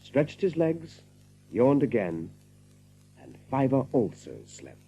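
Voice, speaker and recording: A man's voice: mid-range voice, very animated, slow, dark tone, slightly rough, poor audio. A man narrating a story as scripted, dramatic storytelling for an audio drama.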